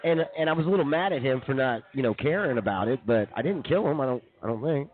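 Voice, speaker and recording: A man's voice: medium pitch, expressive, fast talker. A man co-hosting a comedy radio show, rambling through casual, slangy banter with his friends. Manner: rambling, slangy, often says "dude". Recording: dull muffled lo-fi audio.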